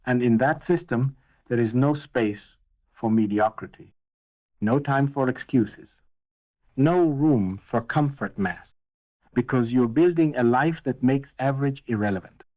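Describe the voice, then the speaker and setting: A man's voice: mid-range voice, a little slow. A man with a mid-range voice narrates a scripted motivational speech. He is intense and emphatic, landing short, punchy phrases one after another. The sound is narrow and lo-fi, as if through a phone. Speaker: motivational speaker.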